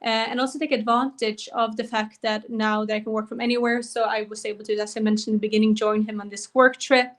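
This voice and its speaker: A woman's voice: fairly high pitch, level tone, fast, few pauses. A young woman from Norway speaking English with a Norwegian accent as an interview guest, thoughtfully searching for words now and then. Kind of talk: interview answers.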